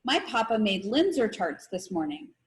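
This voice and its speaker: A woman's voice: mid-range voice, very animated, moderate pace, natural voice. A woman voicing an upbeat, quirky young girl with a friendly manner in a storybook reading.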